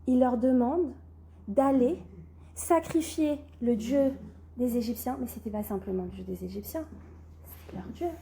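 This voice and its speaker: A woman's voice: on the high side, a little slow, vocal fry, pauses often, dark tone, slight background noise. A woman teaching a religious class who has a cold, putting questions to her students and checking "d'accord?" as she goes. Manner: questions the class, then answers, often saying "d'accord?". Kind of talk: recorded Torah class.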